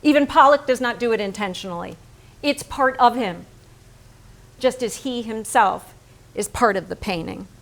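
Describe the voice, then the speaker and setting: A woman acting a cerebral, unflinching painter's wife in a theatrical staged reading, speaking with precise, measured emphasis. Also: fairly high pitch, close to an everyday voice. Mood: fervent, exact. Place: staged reading in a barn venue.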